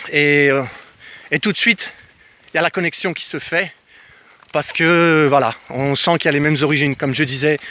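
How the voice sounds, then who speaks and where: Bright-voiced man, animated storyteller. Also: on the high side. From France. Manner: animated, acts out reported dialogue. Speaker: travel vlogger. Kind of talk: casual personal storytelling to camera. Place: outdoors in the countryside.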